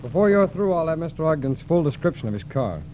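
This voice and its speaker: An actor playing a courteous, methodical FBI agent in a 1940s radio drama, businesslike and in command. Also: male voice, on the high side, big pitch swings, fast. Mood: brisk, commanding. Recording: hissy vintage radio drama recording.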